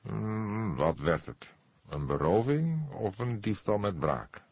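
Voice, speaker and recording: Audiobook role: old male inspector, deep and husky, probing, measured, wry read by a man. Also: big pitch swings, a little mellow, no room sound, exaggerated voice. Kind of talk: audiobook character dialogue.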